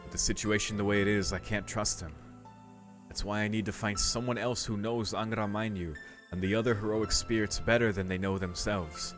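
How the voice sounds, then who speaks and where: A man with a lowish voice reads a visual novel aloud as a let's play host, dropping casual comments. Soft game music sits behind him. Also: fairly even intonation, fairly quick. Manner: casual, reacting. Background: faint game music.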